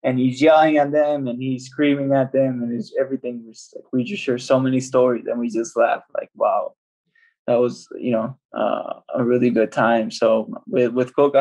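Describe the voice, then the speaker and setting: Young male guest, relaxed and rambling, dull laptop sound. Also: medium pitch. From El Salvador. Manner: relaxed, rambling with many restarts. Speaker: professional footballer, guest. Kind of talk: casual video-call interview. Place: small home room. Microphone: laptop video call.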